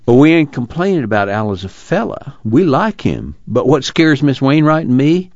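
A man's voice: medium pitch, sing-song, moderate pace, a little mellow, no echo, voice pushed up. Man acting worried, humble country father. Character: worried, proud, humble poor rural father. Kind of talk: dramatized literary reading. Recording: dull, muffled audio.

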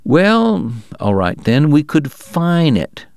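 A scheming frontier merchant with a raised, lively voice, as a male narrator puts him on, a storybook figure who makes shrewd plans sound offhand and harmless. He talks in a persuasive, mild tone that hides his greed. The voice is crisp and dry. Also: on the high side, slow, no echo, pitched above the performer's own voice, exaggerated voice. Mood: wheedling. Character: scheming small-town businessman, soft-spoken, calculating.

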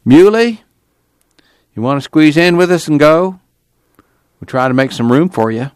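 A man's voice: mid-range voice, big pitch swings, normal speed, no echo, studio quality, voice pushed up. A man voicing a worried, hard-pressed farm father with urgent, folksy rural speech.

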